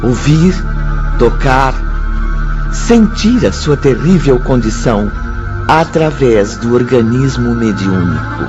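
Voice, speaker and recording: A man with a Brazilian accent narrating a radio drama in a grave, dramatic, deliberate way. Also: mid-range voice, very animated. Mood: solemn. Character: solemn male storyteller of a spiritist tale. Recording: slightly dull, music behind.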